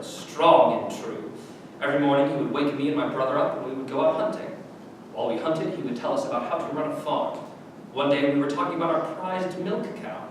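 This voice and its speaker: An actor in a staged reading playing a cruel, condescending Nazi camp officer who speaks deliberately with dramatic pauses. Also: male voice, fairly bright tone. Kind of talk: staged play reading, theatrical monologue. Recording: very echoey room, distant mic, noisy rumble.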